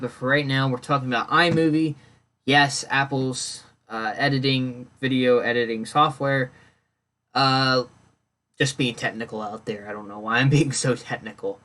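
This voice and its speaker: A man's voice: medium pitch, a bit bright. A man hosting his own podcast alone, talking in a loose, rambling way with many fillers and restarts, sounding congested and phlegmy. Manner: rambling, self-correcting, lots of fillers. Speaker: podcast host. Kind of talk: casual solo podcast talk.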